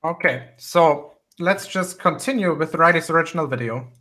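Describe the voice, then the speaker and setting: Bright-voiced male host. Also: fairly high pitch, normal speed. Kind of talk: casual online voice chat.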